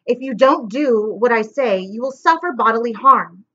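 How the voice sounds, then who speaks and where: A woman's voice: high-pitched, animated, pushed and bright, dull audio, exaggerated voice. A woman reading aloud and voicing a scheming, sneering adult male villain with a mocking, menacing manner. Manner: mocking, menacing, drawn-out words.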